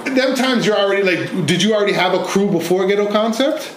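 A man's voice: high voice, fast. A man hosting a casual interview, asking questions in an easygoing, slangy manner. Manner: easygoing, slangy, frequent "word". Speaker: podcast host. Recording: roomy with faint background noise.

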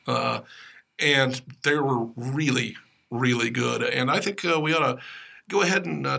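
Gravelly, husky man hosting casual chat. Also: mid-range voice, sharp tone. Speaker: podcast host.

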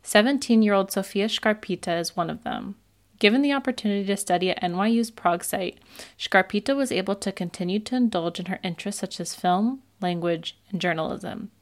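A woman hosting and narrating a student interview segment, reading scripted introductions in a measured way and asking questions. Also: medium pitch. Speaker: student radio host and interviewer.

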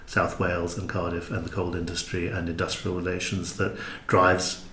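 A man's voice: low-pitched, monotone, normal speed, sharp tone. A man in a thoughtful interview conversation, explaining things reflectively. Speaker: podcast guest, drama maker. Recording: roomy, echoing indoor sound.

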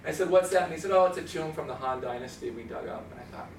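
A man's voice: on the high side, quick pace, creaky, a little hiss or hum. Man telling stories in a roomy hall. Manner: animated, laughs while telling anecdotes, mimics tones. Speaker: author giving a talk. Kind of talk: casual storytelling talk. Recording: roomy, from far off, faint ambience.

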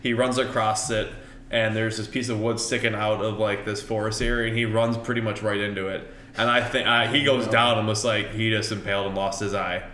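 A man's voice: fairly low pitch, flat delivery, a little fast, sharp tone. A man, a coach, telling a rambling story in casual chat, with many ums along the way. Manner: rambling, filler-heavy with frequent ums. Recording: echoey room.

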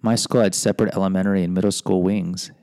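A man's voice: deep voice, fairly even intonation, fast, warm tone, clear, resonant tone, no room sound, clean studio sound. A middle-aged American man hosting a podcast, reading a personal memoir with rambling, self-deprecating asides. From United States. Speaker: podcast host and teacher.

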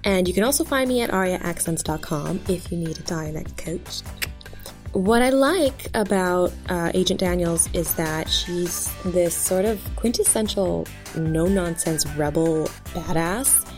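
A woman's voice: fairly low pitch, expressive, unhurried, a little mellow, soft background music. A woman voice actor speaking casually to listeners as she introduces herself and her work. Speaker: voice actor and dialect coach.

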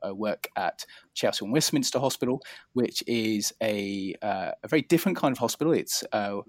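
Low-voiced southern English man, close dry sound. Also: no echo. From England, near London. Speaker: interview guest, cardiologist. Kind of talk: conversational interview, telling career story.

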